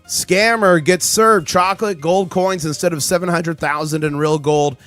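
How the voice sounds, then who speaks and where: Male livestream host, chatty and excitable, voice just back after being lost, faint background music. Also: on the high side, normal speed. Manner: chatty, reads chat aloud, excitable. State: recovering from lost voice. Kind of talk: casual live market commentary.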